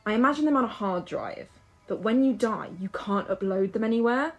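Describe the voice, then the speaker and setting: A woman's voice: on the high side, animated, a little fast, highs cut off, soft background music. A woman acting in an audio drama as a restless, hopeful young woman who chats in a musing, playful way. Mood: musing, curious.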